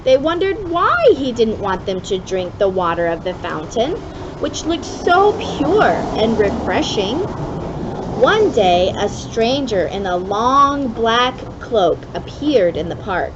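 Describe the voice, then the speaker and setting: Give the voice of a woman reading a children's story aloud, pitched fairly high and very animated. She places deliberate dramatic emphasis as she tells it. The recording is dull, with clear background noise. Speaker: storybook reader for a kids club. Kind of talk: expressive read-aloud of a children's allegory.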